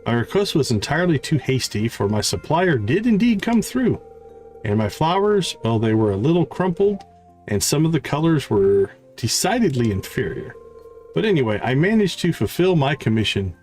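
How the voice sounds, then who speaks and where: A man's voice: a little high, big pitch swings, moderate pace, darkish tone, faint music behind. A man voicing a prim, fussy, well-bred lady florist from a video game, with formal, old-fashioned and haughty phrasing. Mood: genteel, confiding.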